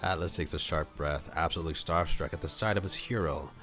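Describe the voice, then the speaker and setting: A man's voice: extremely low pitch, a little fast, a bit bright. A man reading a visual novel aloud as a streamer, mixing scripted narration with casual asides. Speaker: streamer, VTuber. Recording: music behind the voice. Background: background music.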